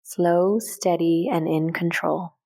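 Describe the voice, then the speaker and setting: A woman leading a guided meditation in a calm, gentle, unhurried way with short measured phrases. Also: fairly low pitch, gravelly. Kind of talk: guided meditation, soft instructional narration.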